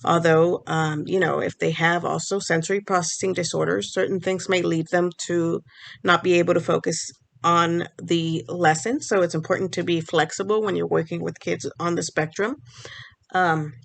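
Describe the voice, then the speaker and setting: A woman's voice: on the low side, steady tone, normal speed, creaky. A woman whose first language is Spanish, talking casually to camera as a vlogger and explaining things in a conversational way. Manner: explanatory, conversational, restarts phrases. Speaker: vlogger and speech pathology grad student.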